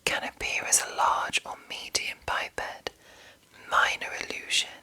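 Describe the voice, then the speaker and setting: A woman speaking in a breathy whisper, reading and commenting in a deliberate ASMR manner with long pauses between short phrases. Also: sharp tone. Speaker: host of an ASMR channel.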